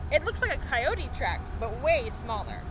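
A woman's voice: high voice, big pitch swings, moderate pace. A woman voicing a curious young boy in a storybook, with an inquisitive, wondering manner. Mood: puzzled. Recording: noisy outdoor recording.

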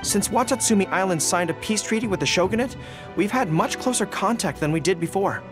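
An actor playing an earnest, easily flustered young dog-eared general in a video game. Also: male voice, high voice, lively intonation, brisk. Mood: uneasy, earnest. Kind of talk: acted video game dialogue. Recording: music behind the voice.

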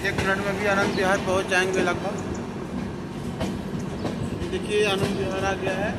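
High-voiced young man vlogging over loud train noise. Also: monotone, normal speed. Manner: chatty, addresses viewers as friends. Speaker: travel vlogger. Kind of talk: casual travel vlog narration. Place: train and station platforms, indoors and out. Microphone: phone held at arm's length.

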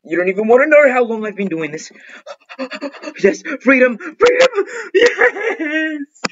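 A man's voice: extremely high pitch, big pitch swings, slow pace, a bit bright, highs cut off. A man giving casual, informal gameplay commentary as the host of a gaming video, recorded at a computer.

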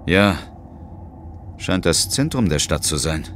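An actor playing a resolute ghost hunter who tells his own adventure in a measured, suspenseful audio drama style. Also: deep voice, lively intonation, a little hiss or hum, exaggerated voice. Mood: wary. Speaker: first-person narrator and lead character. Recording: loud dramatic music and effects behind.

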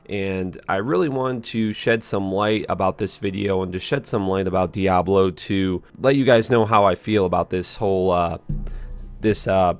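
A man in his twenties with a hoarse voice, speaking casually and earnestly to viewers as a gaming channel host. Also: sits low, fairly even intonation, moderate pace, soft background music. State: hoarse, voice strained after a concert. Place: small indoor room at home.

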